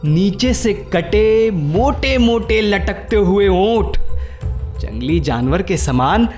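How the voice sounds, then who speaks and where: A vain, teasing young suitor in a radio play. His voice is high and he takes his time, savouring his own flamboyant jokes. Soft music sits behind him. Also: animated, slow pace. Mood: mocking. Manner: flamboyant, jokey, self-satisfied, drops English phrases. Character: vain, teasing, lovesick handsome young man. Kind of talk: Hindi radio play acting.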